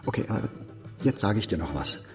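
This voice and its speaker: An actor playing a self-important, defensive busybody in a naturalistic radio crime drama, insisting and justifying himself. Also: male voice, on the low side, moderate pace, music in the background, lightly acted. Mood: agitated, accusing. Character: defensive, self-important busybody man, eager to incriminate others.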